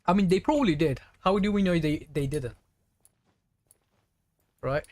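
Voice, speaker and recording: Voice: medium pitch, a little slow, a little mellow, no echo. A game streamer giving casual, chatty, playfully exasperated live commentary.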